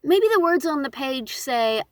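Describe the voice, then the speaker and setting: A woman giving a casual instructional talk, conversational and expressive, with emphatic phrasing. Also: high-pitched, unhurried, no echo, studio quality. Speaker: audiobook narrator and voice actor.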